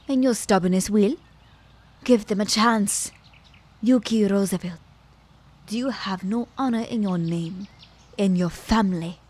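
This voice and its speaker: A woman's voice: medium pitch, measured pace, long pauses, a little mellow, a little hiss or hum, close to an everyday voice. A woman playing a clever, teasing immortal witch in an audio roleplay, with a playful, mock-courteous manner.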